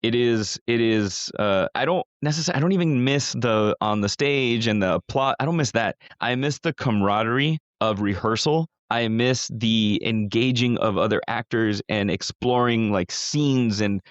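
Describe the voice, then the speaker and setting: A man's voice: mid-range voice, normal speed, hardly any pauses, no echo. Casual rambling man, dry dull sound. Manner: rambling, swears casually, many restarts. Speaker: podcast co-host. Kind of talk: casual chat.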